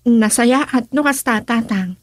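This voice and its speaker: A woman with an Ilocano accent playing a concerned grown daughter in a radio drama, with a husky voice. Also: a little high, unhurried, no room sound. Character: concerned grown daughter confiding in her father.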